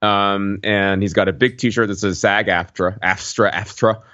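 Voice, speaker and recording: A man's voice: on the low side, fairly even intonation, measured pace, a bit bright, dry recording, muffled recording. A man hosting a casual conversational podcast, joking in a dry, wry way. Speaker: podcast host.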